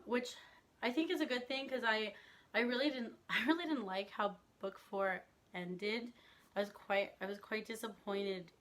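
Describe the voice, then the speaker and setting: A young woman talks casually to her viewers about books, her voice still a little hoarse as it recovers. She is chatty and laughs often, with a mid-range pitch. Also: fairly bright tone. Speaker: book vlogger. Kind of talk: casual chat to camera.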